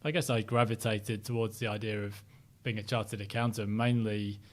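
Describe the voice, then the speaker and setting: A middle-aged man from Sheffield with a softened northern English accent, talking as an interview guest, reflective and full of ums and restarts. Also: fairly low pitch, steady tone, brisk. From Sheffield, South Yorkshire, England. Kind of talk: casual interview chat.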